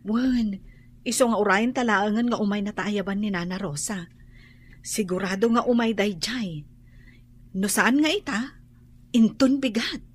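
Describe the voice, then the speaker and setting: An actress with a Filipino accent playing an anxious, put-upon young wife in a radio drama. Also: female voice, mid-range voice, measured pace, long pauses, slightly rough.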